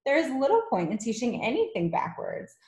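A woman voicing a gentle but quietly firm female schoolteacher in a children's audiobook, polite and earnest. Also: on the high side, huge pitch swings, a little fast, echoey room, pitched above the performer's own voice. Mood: indignant, firm.

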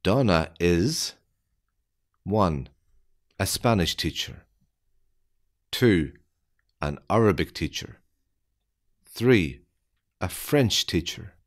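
A man with a low, deep voice reads out an English listening quiz. He speaks extremely slowly, setting each phrase apart so that learners can follow every word. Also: animated. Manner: deliberate, evenly spaced phrasing for learners. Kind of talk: slow scripted quiz reading.

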